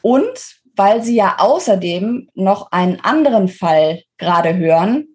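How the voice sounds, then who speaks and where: An articulate woman is talking as a guest in an interview. Her voice sits in a middle register and has a husky edge. She explains political matters in a conversational, analytical way. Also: mid-range voice, animated, measured pace, darkish tone.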